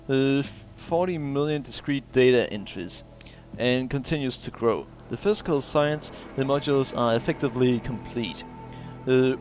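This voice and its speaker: A man playing through a video game, reading in-game logs aloud and stumbling over words, with many "uh" fillers and small chuckles. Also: mid-range voice. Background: faint game music.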